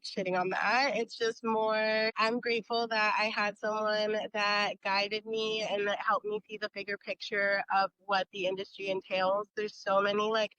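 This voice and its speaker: A woman's voice: mid-range voice, fairly bright tone, clean tone, low-quality recording. A woman giving casual, conversational interview answers as a guest, informal and a little tentative as she thinks aloud. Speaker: podcast guest, esthetician.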